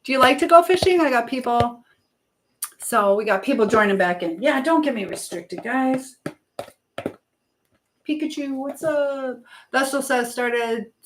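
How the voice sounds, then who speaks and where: A woman with a fairly high voice hosts a casual livestream from an echoey room at home. She rambles along informally, reading comments from viewers and replying to them. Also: a little hiss or hum. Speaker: livestream host. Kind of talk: casual live-chat talk.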